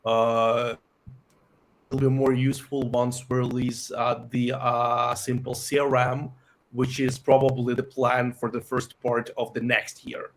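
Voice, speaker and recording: A man from Ukraine, a native Ukrainian speaker, answering interview questions about his company with many pauses and fillers. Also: mid-range voice, little pitch movement. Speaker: software company founder.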